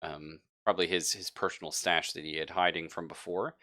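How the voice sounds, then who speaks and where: A man's voice: sits low, fairly even intonation, fairly quick, pushed and bright, no room sound. A man narrating a tabletop roleplaying game as game master, casual and joking, with some swearing. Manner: casual, profane, joking asides.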